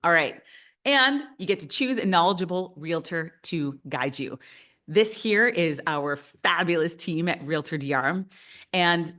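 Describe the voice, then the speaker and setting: Canadian lady in her 50s hosting a webinar, low voice, upbeat and excited. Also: unhurried. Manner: upbeat and enthusiastic. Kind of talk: presenting a webinar.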